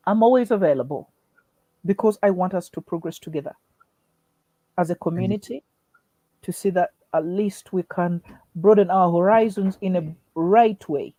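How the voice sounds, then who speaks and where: A man's voice: sits high, mellow, no echo, rough recording. A man invited as an education expert in an online discussion, explaining things in a hesitant, affirming way with frequent restarts over a video call.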